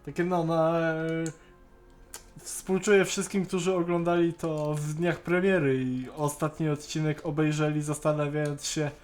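A man's voice: fairly high pitch, little pitch movement, a little slow, a little mellow, faint music behind. A man chatting casually on an online call, often stammering and repeating the starts of words. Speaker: co-host on a Skype call. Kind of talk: casual chat reviewing an animated series.

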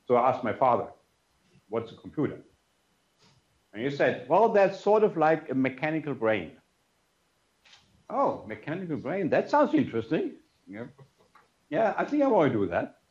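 An older man with a German accent lectures in a roomy, slightly muffled hall, his pitch rising and falling in lively swings. He tells stories casually, dropping in "you know" and "yeah" as he goes. His voice sits at a middle pitch for a man. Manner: conversational, frequent "you know" and "yeah" tags. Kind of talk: memorial lecture with personal storytelling. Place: conference room with audience. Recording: roomy, slightly dull lecture room sound.

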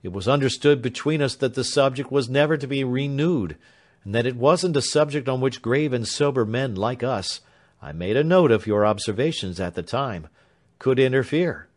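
A man voicing a flustered, fussy old lawyer who blusters loudly. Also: mid-range voice, moderate pace, close to an everyday voice. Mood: blustering.

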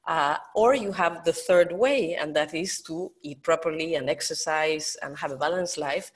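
A woman whose first language is Spanish speaks English with a clear Spanish accent, her voice sitting a little low. She explains her method with careful earnestness and frequent fillers, heard over a video call. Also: moderate pace. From Spain. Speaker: guest expert. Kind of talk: online summit interview.

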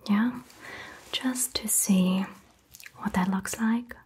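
Slow whispering woman doctor, ASMR. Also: medium pitch, fairly even intonation, slight background noise. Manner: soft unvoiced whisper, gentle and reassuring. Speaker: ASMR creator playing a doctor. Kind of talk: ASMR medical roleplay, whispered. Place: indoor set styled as a small doctor's office.

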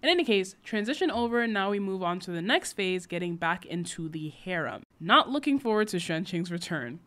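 Young woman, book recap narration, wry and sarcastic, animated pitch. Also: fairly quick.

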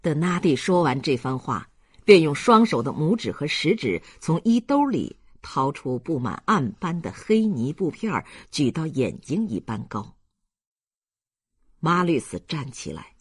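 Husky male audiobook narrator. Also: on the high side, no echo, studio quality. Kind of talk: dramatic scripted narration.

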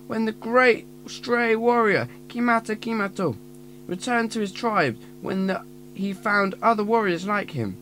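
An actor voices a clever little five-year-old adventurer with a bright, forward voice. The child is earnest and cautious, full of bookish warnings. It is radio drama acting, with some background noise. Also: mid-range voice, lightly acted, low-quality recording.

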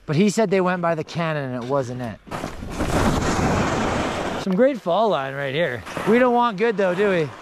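A man giving casual, excited running commentary while skiing down a snowy mountain, filmed on a helmet camera, with some swearing and laid-back slang. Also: high-pitched, moderate pace, pauses often, very noisy. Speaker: ski vlogger. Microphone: helmet-mounted action camera.

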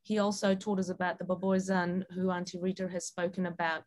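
Female guest speaker, broad Kiwi accent, slightly low pitch, informal and somewhat hesitant presenting style. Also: level tone, brisk. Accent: New Zealand. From New Zealand and Sabah, Malaysia. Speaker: guest speaker, film director.

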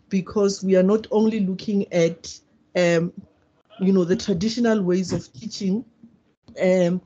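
A woman speaks up at an online seminar with a polite, formal comment. Her voice is husky and mellow. The call audio is muffled. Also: mid-range voice. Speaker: seminar participant.

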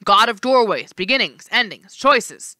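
A mocking, two-faced male god who sneers and squabbles with himself, as a woman reading a story puts him on. His voice sits high and bright. He stays teasing and quick to snap. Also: animated, no echo. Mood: taunting.

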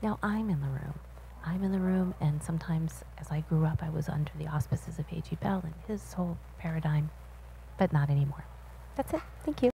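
A woman's voice: deep voice, a little fast, creaky, warm tone, slight background noise, low-quality recording. A woman voicing a signed panel talk as an interpreter, explaining and asking the audience questions at an auditorium panel.

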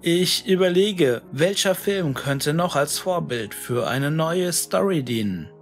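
A man reads a first-person horror tale at a slow, measured pace. His voice sits a little high for a male narrator. He is brooding and deliberate, leaving dramatic pauses, and music plays underneath. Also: lively intonation. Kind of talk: scripted first-person creepypasta narration, musing monologue.

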